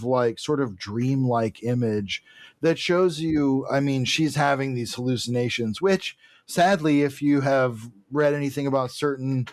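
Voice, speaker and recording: A man's voice: medium pitch, no echo. A man co-hosting a podcast in casual discussion, talking in a hedging, discursive way that often corrects itself. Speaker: podcast co-host. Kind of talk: casual comic book discussion.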